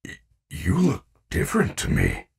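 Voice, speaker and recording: A man voicing a gentle, earnest animatronic bear in a video game, speaking formally and carefully with few contractions. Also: deep voice, wildly swooping pitch, a little slow, creaky, slightly rough, recorded from a distance, heavily acted. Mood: earnest, concerned.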